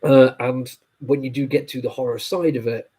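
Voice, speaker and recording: A man with a heavy cold talks through a comic book review, his voice nasal and a little husky. He rambles casually, full of ums and apologies, at an ordinary middle pitch. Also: steady tone, moderate pace, warm tone. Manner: rambling, with many fillers and apologies. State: stuffy nose from a cold.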